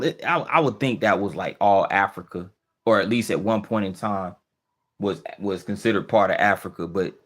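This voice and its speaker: A man hosting a casual livestream, thinking aloud with many restarts and fillers as he answers viewer comments. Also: on the low side. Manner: easygoing, questioning. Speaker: livestream host. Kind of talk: casual live chat, reading viewer comments.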